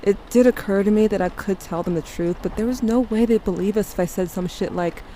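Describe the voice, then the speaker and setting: A woman's voice: mid-range voice, fairly quick, mellow, close to an everyday voice. A woman playing a grieving, sardonic teenage girl who narrates her story in a confiding audio drama style. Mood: confiding, wry. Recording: clearly noisy, ambient sound behind.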